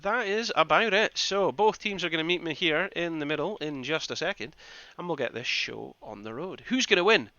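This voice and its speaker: Bright-voiced Scottish game caster, a man. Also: a little high, lively intonation, normal speed, few pauses, no room sound, lo-fi sound. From Scottish Highlands, northeast Scotland. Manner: conversational, rambling, repeats phrases for emphasis. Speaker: esports caster and streamer. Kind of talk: live game commentary with casual asides to chat.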